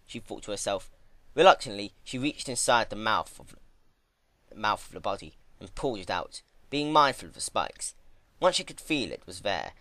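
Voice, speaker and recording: Guy narrating a dark story, very dramatic, swoops around, dry close sound. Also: on the low side, gravelly, dry recording. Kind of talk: dramatic fan fiction reading.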